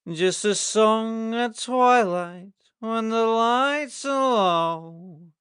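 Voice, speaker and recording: A reedy old Yorkshire farmer, as a male narrator puts him on, small, tipsy and curt. The voice is pitched up from the reader's own, in a storybook reading. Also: very slow, a bit bright, clear, resonant tone, no room sound, clean studio sound, exaggerated voice. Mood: raucous singing.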